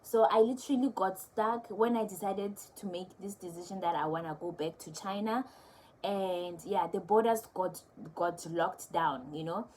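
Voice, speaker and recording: Warm-voiced woman vlogger. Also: mid-range voice, moderate pace, a little hiss or hum, poor audio. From South Africa. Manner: candid, conversational, with soft laughs. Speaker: teacher vlogger. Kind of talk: casual storytelling to camera.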